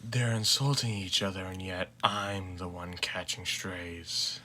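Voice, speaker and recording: A man voicing a tired, grumpy father in a flat monotone, muttering dry sarcasm for an audio fan drama. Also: low-pitched, slow pace, pitched below the performer's own voice, heavily acted. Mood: weary deadpan. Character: deadpan, tired, grumpy dark-haired father.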